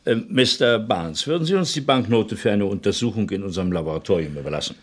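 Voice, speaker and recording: An actor playing a shrewd, pondering police inspector in a German radio crime drama, halting and husky as he probes. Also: on the low side, expressive. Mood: polite, brisk. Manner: pondering, halting, repeats himself. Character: probing, hesitant, shrewd male police inspector.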